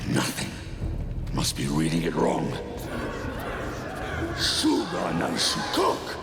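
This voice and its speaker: A man's voice: a bass voice, huge pitch swings, slow pace, creaky, roomy sound, long reverb tail, loud music behind the voice, exaggerated voice. A man playing a zealous cultist in a video game, intoning a clumsy incantation. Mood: frustrated.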